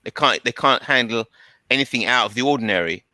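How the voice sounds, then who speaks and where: A man with a bright, forceful voice talks at length in a casual discussion. He explains in a halting way, often repeating words. The sound is dry, with very little room around him. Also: mid-range voice, dry recording. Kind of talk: casual conversational monologue.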